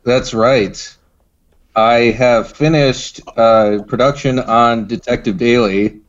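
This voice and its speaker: Male podcast guest, medium pitch, casual chat, muffled lo-fi recording. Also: steady tone, slow. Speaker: podcast guest, radio drama producer.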